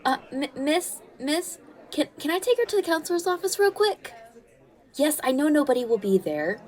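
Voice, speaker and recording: A woman playing a caring, protective teenage girl who comforts her best friend in an ASMR roleplay, soothing and gently bossy as she talks. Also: sits high, very animated, normal speed. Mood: worried, soothing. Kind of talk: ASMR comfort roleplay, one-sided conversation.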